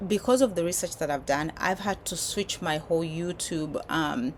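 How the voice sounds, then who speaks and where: Low-voiced woman talking to camera. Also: moderate pace, a little hiss or hum. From Uganda. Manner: rambling, many restarts and fillers. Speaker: YouTube creator. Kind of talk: casual talk to camera. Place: filming while traveling abroad.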